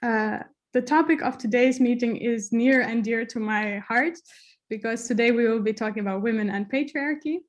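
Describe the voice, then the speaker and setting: A woman's voice: on the high side, moderate pace. A woman from Azerbaijan moderating an online meeting over a video call, delivering an earnest prepared introduction.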